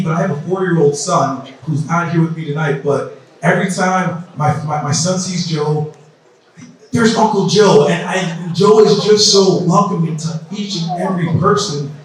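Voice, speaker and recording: A man in his thirties, originally from South Sudan, emceeing a campaign event in loose, repetitive crowd-rousing talk. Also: high voice. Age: 30s. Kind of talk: casual speech at a campaign event. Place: large indoor event hall. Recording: echoey hall, mic from far off.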